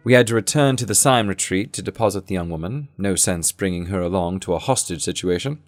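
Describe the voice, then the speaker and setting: A man's voice: low-pitched, lively intonation, brisk, no echo, studio quality, soft background music. An actor playing a genteel adventurer who recounts his exploits in formal, wordy, conversational audio drama storytelling. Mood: composed, recounting. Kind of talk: first-person audio drama narration.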